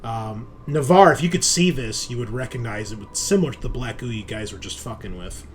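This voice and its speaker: Male game master, mid-pitched, improvised descriptive narration and loose chat, some background noise. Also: brisk.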